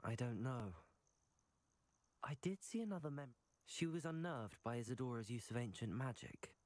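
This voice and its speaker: A man's voice: medium pitch, animated, normal speed, a little mellow, no echo, lightly acted. A man playing an earnest, cautious teenage wizard student, the hero of a video game. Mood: explaining, uneasy. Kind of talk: scripted video game dialogue and combat calls.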